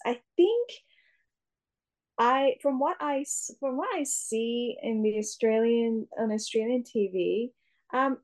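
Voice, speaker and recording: A young woman speaks in a mellow, relaxed voice as she answers interview questions about her acting work. She pauses often with fillers and restarts her sentences. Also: fairly high pitch. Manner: hesitant, many fillers and restarts. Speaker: actor, interviewee. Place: Australia.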